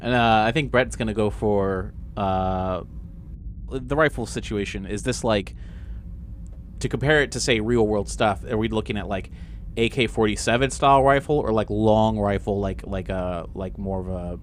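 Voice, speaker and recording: A man's voice: on the low side, fairly even intonation, normal speed, slight background noise. A man chatting casually as a game player, thinking aloud as he describes his character's actions.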